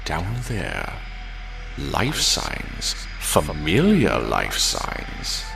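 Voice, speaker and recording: A brooding, battle-worn robot warrior in a motion comic. The voice is very low and gravelly, grave and solemn in delivery. It sits a little far from the mic under loud music. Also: male voice, wildly swooping pitch, exaggerated voice. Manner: grave, slow, solemn monologue. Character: brooding male robot warrior mourning his lost world.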